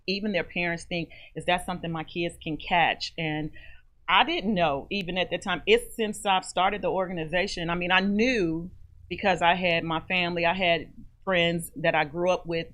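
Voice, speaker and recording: A woman with a fairly low-pitched voice, speaking as a guest on a radio interview. She tells her personal story in an earnest, measured, heartfelt manner. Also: fairly bright tone. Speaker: guest, author and foundation founder.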